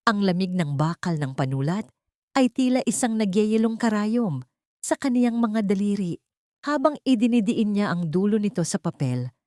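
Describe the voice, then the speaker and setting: A woman's voice: mid-range voice, expressive, normal speed, darkish tone, no echo, studio quality. A woman reading a dramatic scripted audio story as its narrator.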